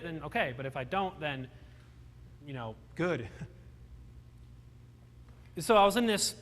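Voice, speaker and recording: A man's voice: sits high, bright, forceful tone, long reverb tail. A young man born in Romania, telling his life story with self-deprecating humor to a live audience, often restarting his sentences. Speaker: motivational speaker. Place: large hall with audience.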